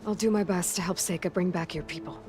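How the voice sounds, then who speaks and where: An actress playing a determined young huntress in a video game, speaking in a calm, plain, reassuring way. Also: female voice, fairly low pitch, quick pace, lightly acted. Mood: gently hopeful. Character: steady, determined young female huntress, an outsider. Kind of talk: cinematic video game acting. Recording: music behind the voice.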